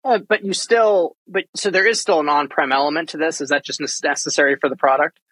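Husky, higher-voiced male interviewer. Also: quick pace, fairly bright tone, no echo. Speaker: podcast host, interviewer. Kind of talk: casual interview questioning.

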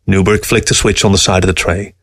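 A man's voice: deep voice, fairly even intonation, fast, dry recording. Male story narrator, dry close sound. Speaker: narrator reading a short story. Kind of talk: scripted literary narration.

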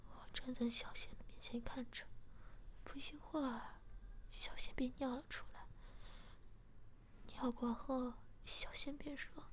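A woman's voice: high-pitched, level tone, unhurried, pauses often. Whispering woman reading a story. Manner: whispering throughout. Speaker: narrator.

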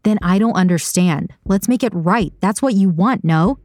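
A woman voicing a cynical, brooding, stubborn man in an audiobook, blunt and insistent in a low-key way. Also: moderate pace, a little mellow, no echo, clean studio sound, close to an everyday voice. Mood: coaxing. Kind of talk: audiobook character dialogue.

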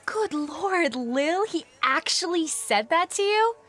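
High-pitched, sassy, outspoken young friend. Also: female voice, animated, slow pace, fairly bright tone, full character voice. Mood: indignant, amused. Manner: sassy, emphatic. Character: outspoken, indignant young woman venting to a friend. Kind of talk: audio drama, casual chatty dialogue.

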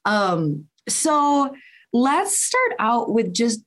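A woman's voice: fairly high pitch, sing-song, drawn-out words. A woman hosting a podcast in a casual chat, rambling and interrupting herself with laughter. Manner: rambling, self-interrupting, giggly. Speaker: podcast host.

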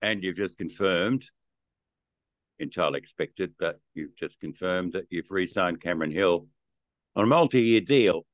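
A male interviewer with a lowish voice puts questions in a measured way. The recording is dry and close. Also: dry recording. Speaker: podcast host and journalist.

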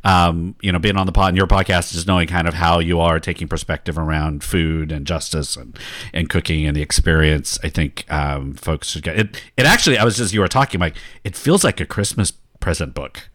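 A man's voice: low voice, a little fast, fairly bright tone. A man hosting a casual podcast chat over a video call, laughing at himself and restarting his sentences. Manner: laughing, self-deprecating, restarts often. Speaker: podcast host.

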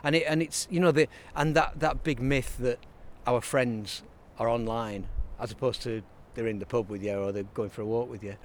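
A man's voice: mid-range voice, steady tone, normal speed, hardly any pauses, a little hiss or hum. A man, talking as an interview guest in a rambling, reflective way with many restarts. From Burnley, Lancashire, England. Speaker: interview guest, writer and songwriter. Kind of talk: casual interview conversation.

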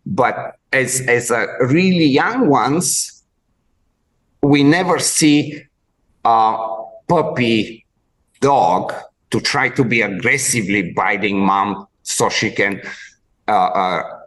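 A man with a Bulgarian accent hosting a podcast interview, talking haltingly with many restarts as he searches for words. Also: mid-range voice, fairly bright tone, low-quality recording. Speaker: podcast host, dog trainer. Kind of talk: conversational interview.

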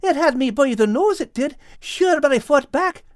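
A man voicing a timid but boastful male cat, excited and comic, in an audiobook. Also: very high-pitched, normal speed, dry recording, clean studio sound, pitched above the performer's own voice.

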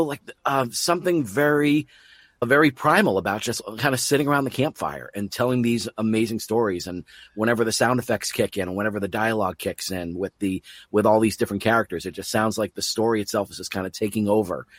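A man with a fairly low voice hosts an interview podcast. He speaks with open enthusiasm and effusive praise, and the sound is close and dry, with hardly any room around it. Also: no room sound. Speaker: podcast host. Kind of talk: interview show hosting.

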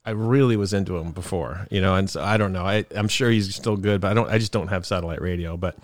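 A man's voice: low-pitched, quick pace, no room sound, studio quality. A man hosting a casual chat podcast, rambling and laughing often, sniffling from a cold. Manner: rambling, laughs often, trails off mid-thought. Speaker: podcast host.

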